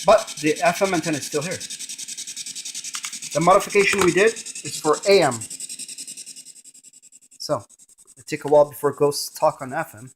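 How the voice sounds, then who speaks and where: Higher-pitched man demonstrating a gadget. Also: moderate pace, long pauses, a little hiss or hum, poor audio. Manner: talks in short fragments, narrating each step. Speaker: presenter of a gadget review. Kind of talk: casual hands-on product demonstration.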